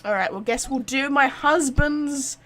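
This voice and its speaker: Chatty woman streamer, higher-pitched voice. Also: measured pace, fairly bright tone. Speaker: live streamer. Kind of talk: casual game stream chat.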